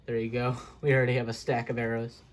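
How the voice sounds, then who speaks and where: A man's voice: medium pitch, little pitch movement, quick pace. Young man rambling through a game tour. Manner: rambling, self-deprecating, corrects himself. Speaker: Minecraft video creator. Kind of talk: casual gameplay walkthrough.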